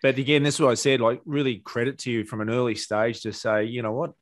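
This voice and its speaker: A man's voice: mid-range voice, level tone, fast talker, dry recording, studio quality. A man working as a business coach, talking conversationally and explaining as he interviews a client. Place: small home office on a video call. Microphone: headset or desk mic, close.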